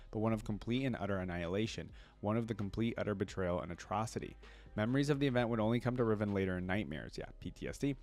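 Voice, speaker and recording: A man with a fairly low voice makes a reaction video. He moves between reading reference text aloud and casual commentary, thinking through details as he goes. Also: darkish tone. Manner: thinks aloud, interjecting between read passages.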